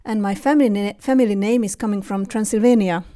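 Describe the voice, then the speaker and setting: Mellow-voiced Hungarian woman, hesitant. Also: mid-range voice, quick pace. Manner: hesitant, many fillers and restarts. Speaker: guest. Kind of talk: casual interview conversation.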